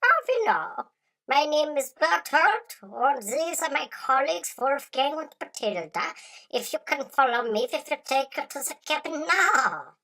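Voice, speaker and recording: A woman voicing a small, courteous elf guide with a shrill, squeaky voice in a radio drama. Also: sing-song, unhurried, sharp tone, exaggerated voice, poor audio. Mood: polite, brisk. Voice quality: shrill, squeaky, high-pitched. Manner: formal, polite introductions. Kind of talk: radio drama character acting.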